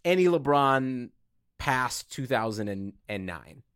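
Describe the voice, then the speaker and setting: Male podcast co-host, medium pitch, dry sound, sarcastic casual sports talk with swearing. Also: lively intonation, slow, fairly bright tone, clean tone, dry recording.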